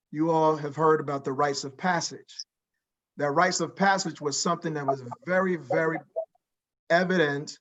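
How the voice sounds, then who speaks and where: A middle-aged man from Liberia with a voice strained from yelling, speaking earnestly and deliberately as a panelist. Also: a little high. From Liberia, West Africa. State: strained voice after weeks of yelling. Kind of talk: reflective panel talk. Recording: dull lo-fi call audio.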